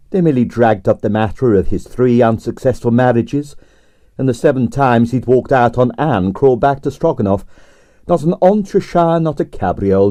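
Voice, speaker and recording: A man's voice: medium pitch, dark tone, dry recording. Dry-voiced man narrating a comic mystery. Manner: dry, wry storytelling. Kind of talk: abridged audiobook narration.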